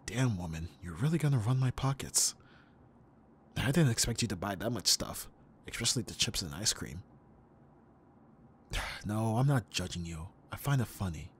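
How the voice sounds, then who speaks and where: A man acts out an easygoing young guy in an intimate audio roleplay. His voice sits fairly low, and he chats casually and teasingly, like a devoted best friend up late. Faint background noise runs under the voice. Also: lively intonation, normal speed, long pauses, lightly acted. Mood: playful, teasing. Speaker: ASMR roleplay voice actor. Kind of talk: ASMR boyfriend roleplay, casual intimate chat.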